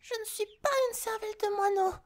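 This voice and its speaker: A childlike harpy with an extremely high voice. She is naive and chirpy, fussing over her shiny treasures with easily wounded pride, in a fantasy ASMR roleplay. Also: flat delivery, a little fast, a little mellow. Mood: defensive, indignant. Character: scatterbrained female harpy hoarding shiny coins, childlike and defensive. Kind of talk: French ASMR roleplay acting.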